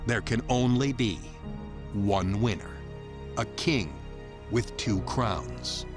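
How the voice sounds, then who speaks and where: A man delivering scripted, dramatic promo narration in a solemn, measured, grandiose manner. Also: on the low side. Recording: music bed under the voice. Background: music.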